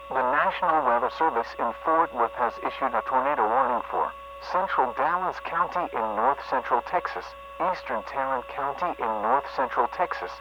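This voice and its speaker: A man voicing a stern, formal weather-radio announcer, reading a scripted emergency alert in a measured, stilted way. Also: medium pitch. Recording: muffled, noisy weather-radio audio with hum.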